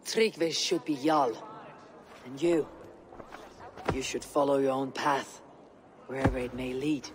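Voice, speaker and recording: An actor playing a steady, commanding young Viking war leader in a video game cutscene, with a measured, resolute manner. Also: male voice, mid-range voice, a crowd in the background, natural voice. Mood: grave, counselling.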